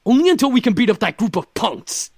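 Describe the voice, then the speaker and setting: Acted brash young male incubus, impatient grumbler, creaky growl, hugely varied pitch, dry sound. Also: very animated, quick pace, a little mellow, dry recording. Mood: grudging. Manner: blunt, growly.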